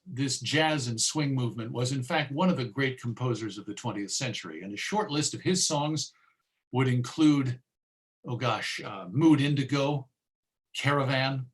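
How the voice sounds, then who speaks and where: An American man presenting a conversational history lecture, chatty and given to wry asides. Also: mid-range voice.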